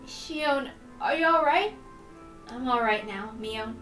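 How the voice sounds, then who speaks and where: An anguished, headstrong teenage girl played by a woman reader in a visual novel, with a sharp, forceful voice. She speaks emphatically and full of feeling, in a roomy, echoing space with music behind. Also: on the high side, slow pace. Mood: weary relief. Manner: emphatic, emotional. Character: anguished, defiant teenage girl twin resisting her inner demon. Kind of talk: dramatic visual novel reading. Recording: roomy echo, distant mic, music behind.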